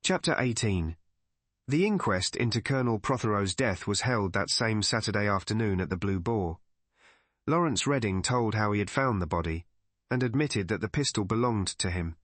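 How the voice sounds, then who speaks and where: A man's voice: lowish voice, lively intonation, normal speed, dry recording. Man narrating a mystery audiobook, very dry sound. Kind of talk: scripted first-person mystery story narration.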